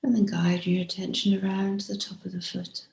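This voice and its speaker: A woman guiding a body scan meditation as a teacher, speaking gently and softly, unhurried, with frequent pauses and breathy phrase endings. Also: mid-range voice, level tone. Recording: roomy, echoing room sound.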